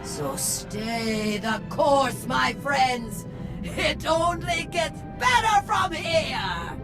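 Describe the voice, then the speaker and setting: High-voiced, swooping rebel queen. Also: lively intonation, full character voice. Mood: defiant, rousing. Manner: emphatic, theatrical, deliberate pauses. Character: bold rebel queen and crime boss, rousing a crowd. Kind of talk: grand rallying speech. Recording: music under the voice.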